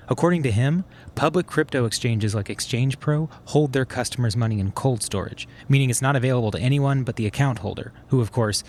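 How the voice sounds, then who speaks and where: An actor playing a sharp, methodical schemer who explains things conversationally in a naturalistic audio drama. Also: male voice, medium pitch, slight background noise, close to an everyday voice. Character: sharp, methodical male schemer plotting revenge on a swindler.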